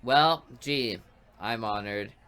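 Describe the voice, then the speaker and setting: A woman's voice: extremely low pitch, takes it slowly, fairly bright tone, clean tone. A woman hosting a gaming video, reading a visual novel aloud expressively with her own asides.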